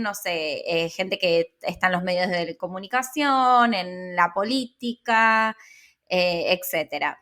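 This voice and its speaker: A woman's voice: medium pitch, a bit bright. Argentine woman, hesitant casual podcast interviewer. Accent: Rioplatense Argentine Spanish. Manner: hesitant, searching phrasing with repeated words.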